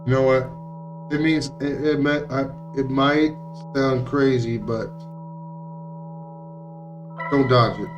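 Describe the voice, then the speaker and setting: A man's voice: mid-range voice, fairly even intonation, slow, pauses often, far from the mic, lo-fi sound. A man reading visual novel text aloud as dramatic narration, now and then stumbling over words and rereading them. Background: music.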